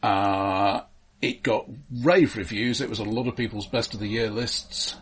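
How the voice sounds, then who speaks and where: A man co-hosting a podcast in casual film discussion, explaining things in a halting, analytical way. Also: fairly low pitch, fairly even intonation, slightly rough. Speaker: podcast co-host.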